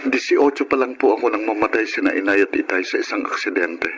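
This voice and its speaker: Actor as low-voiced humble Filipino older brother. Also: male voice, quick pace, dull audio, background noise, close to an everyday voice. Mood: humble, earnest. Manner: polite, deferential, earnest. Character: humble grateful hardworking older brother, tricycle driver. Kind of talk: Filipino radio drama acting and first-person narration. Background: music.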